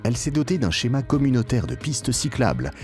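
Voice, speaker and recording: A man reading a scripted promotional documentary narration in a formal, measured way, with emphatic phrasing. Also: medium pitch, big pitch swings, a little mellow. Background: background music.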